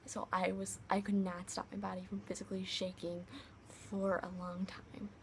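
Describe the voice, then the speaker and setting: A young woman vlogger with a high-pitched voice, casually retelling a story to camera, full of "like" fillers. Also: normal speed, a little hiss or hum. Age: young adult. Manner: chatty, many "like" fillers, animated retelling.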